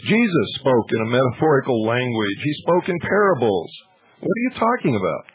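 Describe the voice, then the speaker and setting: A man's voice: medium pitch, very animated, brisk, husky, no echo, poor audio. A man hosting a live radio call-in show, debating in a blunt, argumentative way and cutting in. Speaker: talk radio host.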